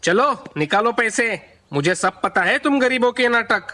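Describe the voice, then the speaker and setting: A performer voicing a greedy, bullying male sweet-shop keeper in a children's cartoon story, gruff and demanding. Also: mid-range voice, animated, normal speed, bright, forceful tone, pitched below the performer's own voice. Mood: threatening.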